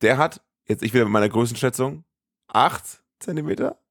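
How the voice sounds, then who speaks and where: A man's voice: mid-range voice, a little fast, creaky, dry recording, clean studio sound. A man co-hosting a podcast in casual chat, playful and rambling, often interrupting himself. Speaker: podcast co-host.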